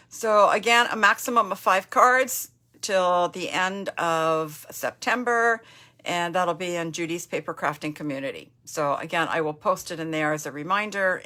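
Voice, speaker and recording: A woman's voice: fairly low pitch, pushed and bright. Chatty Canadian woman with a cold. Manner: chatty and friendly, with fillers and asides. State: recovering from a cold, slight cough. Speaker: papercraft demonstrator. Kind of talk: casual live-stream chat with viewers.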